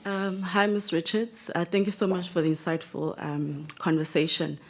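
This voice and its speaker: A woman's voice: on the low side, little pitch movement, normal speed. A young woman with a South African accent, politely and formally asking a question as a student.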